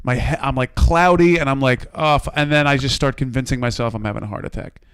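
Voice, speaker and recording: An American man with a slightly hoarse voice, co-hosting a podcast with casual, profane, self-deprecating storytelling that rambles. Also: mid-range voice, quick pace, fairly bright tone, no echo, studio quality. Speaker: podcast co-host. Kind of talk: casual comedic storytelling among friends.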